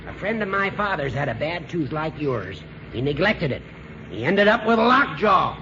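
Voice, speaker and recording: An actor playing a crotchety, opinionated old judge in a 1940s radio comedy, brusque and needling. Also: male voice, a little high, very animated, normal speed, pushed and bright. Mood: bossy, relishing. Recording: noisy, dull 1940s radio recording.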